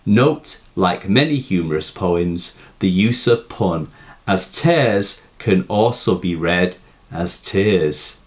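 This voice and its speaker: A man giving a scripted, explanatory poetry lesson in a measured, formal manner. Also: sits low, expressive. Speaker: poetry teacher. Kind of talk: scripted explanatory narration of a poem analysis.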